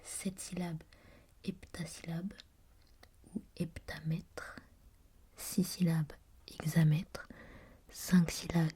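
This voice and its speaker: A woman whispering as she reads aloud from a language reference book, softly musing and adding her own comments. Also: on the low side, husky. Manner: whispering throughout; soft and musing, pausing to comment. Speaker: reader.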